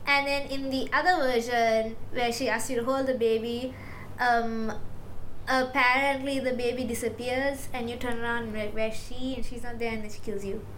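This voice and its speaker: A woman's voice: fairly high pitch, fairly even intonation, normal speed, fairly bright tone, low-quality recording. A young woman from Sri Lanka co-hosting a casual chat about folklore, talking loosely with lots of "like". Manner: chatty, heavy use of "like". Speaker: podcast co-host. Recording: faint background noise.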